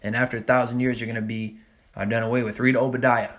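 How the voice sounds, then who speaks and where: A man's voice: medium pitch, flat delivery, fairly bright tone. Man preaching casually from scripture. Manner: casual preaching with frequent "man" and "all right?"; reads scripture slowly and deliberately. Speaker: religious teacher. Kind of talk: Bible study sermon.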